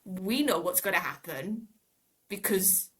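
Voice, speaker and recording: A woman's voice: mid-range voice, animated, a little slow, fairly bright tone, poor audio. A woman in casual fan discussion as a podcast panellist, explaining her ideas in loose, conversational phrases.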